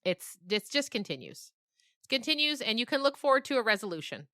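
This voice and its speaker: A woman's voice: on the high side, big pitch swings, fairly quick, bright, forceful tone, no echo, studio quality. A woman talking casually in an interview as a writer, explaining her ideas thoughtfully and often checking in with the listener. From grew up on a farm. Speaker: interviewed writer of a fiction podcast.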